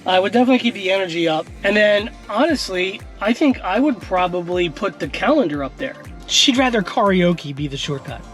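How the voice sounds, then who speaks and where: A man's voice: high-pitched, brisk, hardly any pauses, soft background music. Chatty man demoing a car screen. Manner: chatty, relaxed, filler-heavy. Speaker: tech vlogger. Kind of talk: casual demo walkthrough. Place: inside a parked car. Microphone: action camera in car.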